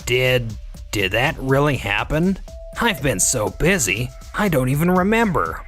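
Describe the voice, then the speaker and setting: A man's voice: medium pitch, sing-song, a little slow, fairly bright tone. A man playing a scheming, defensive office employee in a manga dub, self-serving and full of excuses. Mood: flustered, defensive. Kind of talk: anime-style manga dub dialogue and inner monologue. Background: background music.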